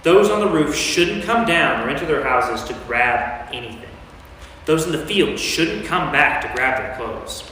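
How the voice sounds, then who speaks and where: A man's voice: a little high, expressive, unhurried, sharp tone. Commanding teacher in an echoing church, acted by a man. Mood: grave. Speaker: lay performer in a church staged reading. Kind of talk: staged scripture reading. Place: large reverberant church. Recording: echoey church, distant mic, faint noise.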